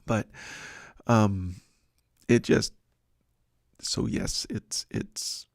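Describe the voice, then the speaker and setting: Gravelly, low-voiced man hosting a devotional. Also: steady tone, drawn-out words, no echo, clean studio sound. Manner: rambling, self-deprecating, many restarts and fillers. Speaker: host of a devotional livestream and podcast. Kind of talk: casual devotional reflection.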